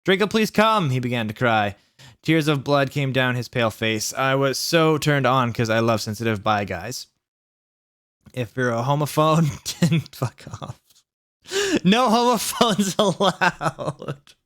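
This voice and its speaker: A man's voice: mid-range voice, a bit bright. Sardonic man reading a story aloud. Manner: deliberately reads out misspellings, sardonic. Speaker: host reading fan fiction aloud. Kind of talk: comic dramatic reading with mocking asides.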